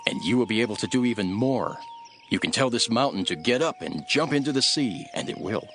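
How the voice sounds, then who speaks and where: An actor playing a calm, authoritative male teacher and prophet in a dramatized scripture reading. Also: mid-range voice, fairly quick, faint music behind, lightly acted. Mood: assured.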